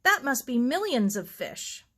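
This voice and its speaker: A woman's voice: sits high, very animated, unhurried, bright, forceful tone, pitched above the performer's own voice, exaggerated voice. A woman voicing a curious, earnest young girl in a storybook, eager and innocent.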